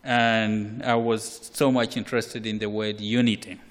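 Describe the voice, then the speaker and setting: A man from Malawi, preaching a sermon in a slow, measured way with repeated words. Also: on the low side, level tone. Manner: slow, measured, with repetitions and occasional soft laughs. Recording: hall reverb.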